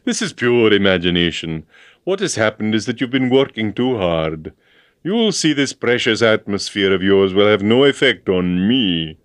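A man voicing a hard-boiled Scottish male literary agent gone soft, with a Scottish accent, by turns scornful and quavering. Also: fairly low pitch, very animated, moderate pace, dry recording, studio quality. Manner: gruff turning tearful and quavering.

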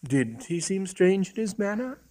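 A woman's voice: medium pitch, sing-song, a little slow, warm tone, voice pushed up. A man voicing an earnest, naive young woman with a plaintive, innocent manner in a comic story. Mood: puzzled, naive.

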